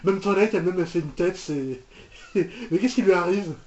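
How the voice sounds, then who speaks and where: High-voiced young man reacting, halting and incredulous. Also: highs cut off, very noisy. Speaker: reaction video host. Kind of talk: casual reaction commentary.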